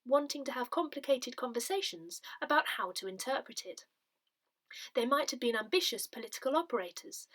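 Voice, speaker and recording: Soft, lilting woman reading. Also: a little high. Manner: measured, gentle reading. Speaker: newsletter reader. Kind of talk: reading a parish newsletter aloud.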